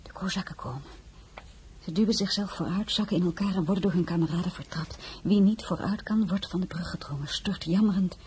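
An actress playing an earnest, anxious younger Frenchwoman, the plain-spoken heroine of an audio drama, in a measured manner. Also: fairly low pitch, a little mellow, slight background noise, close to an everyday voice. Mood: anguished, haunted. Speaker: actress and narrator. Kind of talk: acted audio drama with first-person narration.

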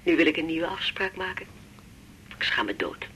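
A low, timid woman on telephone. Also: big pitch swings, slightly rough, full character voice, low-quality recording. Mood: ashamed, timid. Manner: hushed, apologetic, halting. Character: ashamed, timid, apologetic woman patient on telephone. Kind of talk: radio drama acting.

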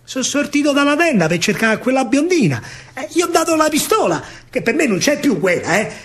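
A man's voice: very high voice, sing-song, a little fast, fairly bright tone. A man with a Roman accent performing a dramatic, rhythmic verse monologue as a soldier recounting a battle. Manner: declamatory, rhythmic, theatrical. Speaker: storyteller actor. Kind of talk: dramatic verse monologue with live music, radio theatre. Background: music played live.

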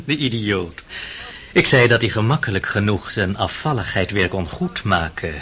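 An actor playing a sly, insolent servant cook in a radio drama, politely servile and smugly pedantic. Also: male voice, fairly low pitch, lively intonation, unhurried, pushed and bright, breathy edge, full character voice. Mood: smug arguing. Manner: servile politeness over smug argument.

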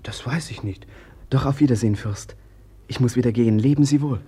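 An actor playing Kolya, an eager young messenger lad, in a 1950s radio play. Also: male voice, medium pitch, brisk, dark tone, breathy edge. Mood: hurried.

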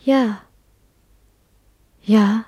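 Breathy, entranced, frightened young woman. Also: medium pitch, fairly even intonation, mellow, no room sound, highs cut off. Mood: dazed, frightened. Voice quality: breathy, half-whispered. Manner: halting, fragmented phrases. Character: traumatized young woman in a trance, frightened and childlike. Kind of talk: 1970s German radio drama acting.

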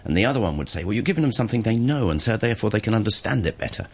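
A man's voice: on the low side, big pitch swings, racing, husky. A male radio host interviewing a guest in a studio, asking chatty, curious questions.

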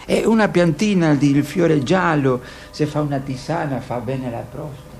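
A man playing a hesitant, bewildered narrator of a sea journey in a poetic radio drama, halting between phrases. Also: on the high side, vocal fry, a little mellow, a little hiss or hum. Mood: curious. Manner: hesitant, halting, starting with interjections. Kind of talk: poetic radio theatre acting.

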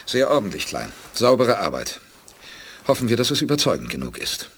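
An actor playing a resolute, calm spaceship commander who gives precise orders in a 1980s radio play. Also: male voice, fairly low pitch, fairly quick, slight background noise, full character voice. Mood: calm authority.

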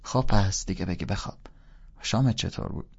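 A man voicing a fussy, worried middle-aged mother who gently scolds and questions, in a dull lo-fi recording. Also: on the low side, gravelly, slightly rough. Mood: fussing.

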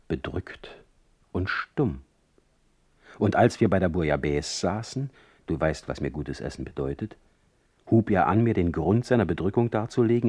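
An actor playing a sardonic, proud exiled poet in a radio play, speaking in eloquent, ironic, deliberately phrased monologue. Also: male voice, low voice, a little mellow, breathy edge, no room sound, clean studio sound, full character voice.

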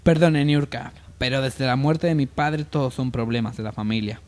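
A man playing a self-assured, dismissive wealthy heir and boss in an amateur radio soap opera, brisk and commanding. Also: mid-range voice, natural voice. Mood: businesslike.

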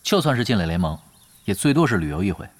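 A man's voice: on the low side, very animated, fast. A man acting in a Mandarin audio drama as an easygoing, confident esports team leader, wry and plain-spoken. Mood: calm, assured. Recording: clear music under the voice.

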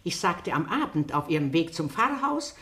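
A woman's voice: lowish voice, very animated, fairly bright tone. A shrewd old lady detective in a radio drama, courteous yet unbending. Her intonation moves widely, and she sounds a little away from the microphone in an echoing room. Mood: accusing. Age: older. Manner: courteous but firm, deliberate. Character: shrewd, polite elderly spinster amateur sleuth. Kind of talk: German radio play acting. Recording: roomy, distant studio sound, faint noise.

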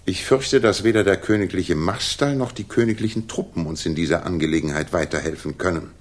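An actor playing a confident, commanding male detective in a 1960s German radio play, with a husky voice. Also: low-pitched, fairly quick. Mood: intent. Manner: commanding, probing, self-assured.

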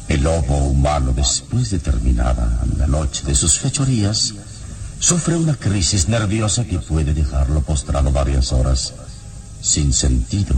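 An actor playing a calm, noble, wise adventurer hero in a radio serial, speaking solemnly with measured dramatic pauses. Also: male voice, a bass voice, exaggerated voice. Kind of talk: vintage radio serial acting. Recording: old radio serial with loud music bed.

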